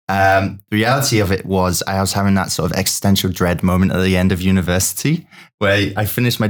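A man from the UK talks as a podcast guest in a low voice. He speaks informally, telling stories in a conversational style. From United Kingdom. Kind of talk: casual storytelling.

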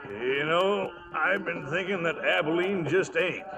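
An actor playing a doubtful, excitable trail cowhand in 1940s radio drama, with folksy, ungrammatical talk. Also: male voice, fairly high pitch, a little slow, very noisy. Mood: doubtful, uneasy. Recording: old radio, loud music and crowd.

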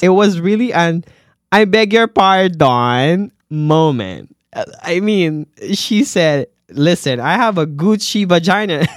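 A man hosting a podcast, reading listener stories aloud and reacting with animated exclamations and frequent laughter. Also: sits high, no echo, studio quality. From Philippines. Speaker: podcast host, nurse.

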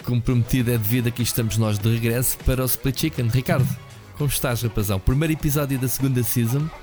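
A hoarse man with a European Portuguese accent, co-hosting a podcast in a relaxed, rambling chat full of fillers and restarts. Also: mid-range voice, quick pace, music in the background. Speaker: podcast co-host. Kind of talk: casual chat about video games.

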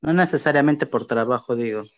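A man's voice: mid-range voice, brisk. A man with a Peruvian accent asking casual interview questions over a remote call. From Peru.

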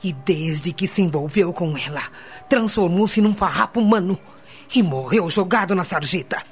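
An actress playing a bitter, imperious, vengeful wealthy widow in a radio soap opera, cold and commanding. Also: female voice, fairly low pitch, animated, normal speed, close to an everyday voice. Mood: bitter, anguished. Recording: dull lo-fi audio with music.

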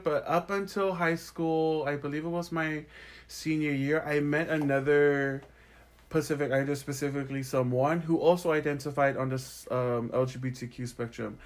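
A young man from American Samoa answering interview questions in an earnest, explanatory, reflective way. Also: fairly high pitch. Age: young adult. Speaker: interview guest.

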